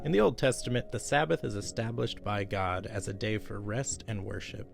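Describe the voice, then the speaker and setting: A man narrates in a low, gravelly voice with a deliberate, scripted cadence. Music plays clearly beneath his words. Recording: voice-over with background music.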